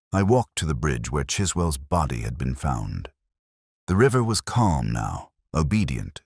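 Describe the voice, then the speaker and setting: A man narrating a first-person detective story in a measured, melancholy, literary manner. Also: a bass voice, no echo, clean studio sound. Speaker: audiobook narrator.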